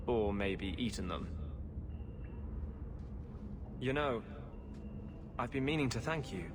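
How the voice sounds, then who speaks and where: A man's voice: mid-range voice, expressive, moderate pace, natural voice. Man playing an intense rebel mage, noisy backdrop. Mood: wistful, grateful. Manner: intense, impassioned. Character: earnest, brooding rebel mage harboring a vengeful spirit. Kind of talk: video game voice acting. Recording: clear ambient noise behind voice.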